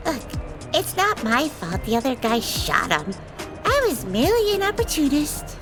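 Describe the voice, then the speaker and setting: A woman's voice: sits high, sing-song, normal speed. A woman voicing a wild, cocky female fighter who talks in a playful, taunting way. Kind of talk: ASMR audio drama roleplay. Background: background music.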